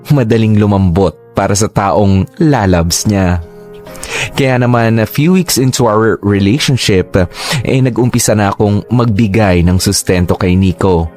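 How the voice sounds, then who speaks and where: Man narrating a story, low voice, chatty and confiding, soft music underneath. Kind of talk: first-person story narration.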